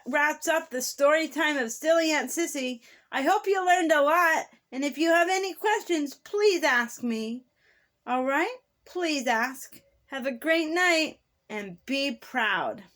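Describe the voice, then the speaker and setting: Lady reading stories to kids, high voice, kinda theatrical and singsongy, trips on words a bit. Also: fairly bright tone. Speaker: children's story host. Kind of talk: storytime narration for children.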